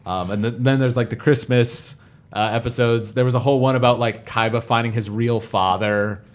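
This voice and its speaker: A man's voice: medium pitch, steady tone, normal speed. A man talking casually and enthusiastically as a podcast panelist, explaining fan favorites in detail. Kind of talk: casual fan chat about anime parodies.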